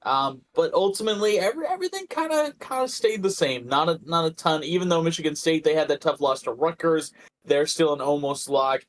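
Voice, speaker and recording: A man co-hosting a sports podcast, giving casual analysis and often repeating words as he thinks. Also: fairly high pitch. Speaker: podcast co-host.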